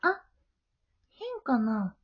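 Woman doing a sweet polite middle school girl for a voice drama, real high voice, bit muffled lo-fi. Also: very animated, extremely slow, husky, exaggerated voice. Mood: friendly, kind. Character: gentle, studious middle-school girl, friendly and mature. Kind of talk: amateur voice drama acting.

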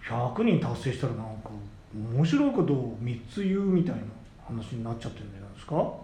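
A man's voice: medium pitch, very animated, brisk, dark tone. A man co-hosting a podcast in casual comedic chat, jokey and playful with words, reacting with mock indignation. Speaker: podcast co-host. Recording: roomy echo, faint background noise.

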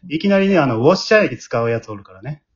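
A man's voice: medium pitch, animated, very fast. A man with a Kansai Japanese accent hosting a casual group chat, chuckling and encouraging the others as he moderates. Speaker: host of a podcast aftertalk. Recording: muffled lo-fi sound.

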